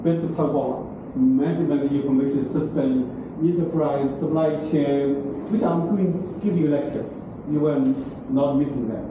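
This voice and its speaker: Lecturer echoing in a classroom. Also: male voice, a little high, steady tone, moderate pace, few pauses. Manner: broken English, frequent "okay?" and "right?" check-ins. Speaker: university lecturer. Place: large classroom. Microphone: far room camera. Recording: very echoey classroom from far off, noisy.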